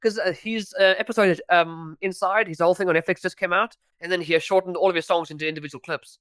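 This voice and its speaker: A man's voice: high-pitched, steady tone, fast talker, a bit bright, smooth, no echo, clean studio sound. A young man from South Africa with a South African accent, chatting as a podcast guest, talking freely with frequent restarts and laughs. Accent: South African English. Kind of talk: casual podcast chat.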